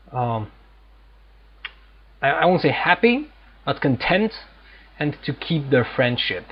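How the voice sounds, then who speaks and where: A man giving casual, unscripted gameplay commentary, explaining things haltingly as he searches for words. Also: mid-range voice. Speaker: gamer hosting a playthrough. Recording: dull lo-fi mic, faint noise.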